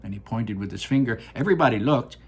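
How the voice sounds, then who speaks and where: Expressive husky male storyteller. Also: mid-range voice, quick pace. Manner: lively, expressive, with asides. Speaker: reader. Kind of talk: reading a children's book aloud.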